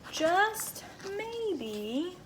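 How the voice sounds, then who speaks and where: Female storybook reader, high-pitched, expressive delivery for young children, faint background noise. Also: drawn-out words. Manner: animated, acts out small moments. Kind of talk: expressive children's read-aloud.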